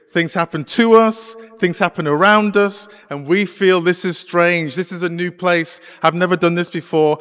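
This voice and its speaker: A middle-aged Englishman preaches to a congregation in an easy, conversational way, often pausing on small fillers. His voice sits a little high for a man. The sound carries a long reverberant hall tail from the church around him. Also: fairly bright tone. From England. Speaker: pastor. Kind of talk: sermon. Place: church sanctuary.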